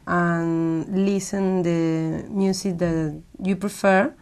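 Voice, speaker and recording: A woman's voice: fairly low pitch, creaky, mellow. A woman from Peru, answering as an exam candidate and hesitating as she searches for words.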